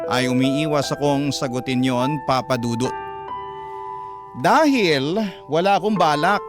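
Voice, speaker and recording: A man's voice: fairly high pitch, natural voice. A man with a Filipino accent reading a troubled mature woman's love confession as earnest radio-drama narration. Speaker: radio storyteller. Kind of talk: first-person letter narration, classic radio drama. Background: guitar music.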